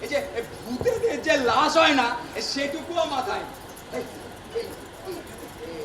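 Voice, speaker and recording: A man plays a comic old God on stage, very high in pitch and far from the mic. He blusters pompously, stammers and repeats himself like a flustered ruler. The noisy hall recording carries audience sound. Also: sing-song, normal speed. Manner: stammering, repetitive, pompous when addressing crowds. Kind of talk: comic Bengali stage play acting. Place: theatre stage at a drama festival.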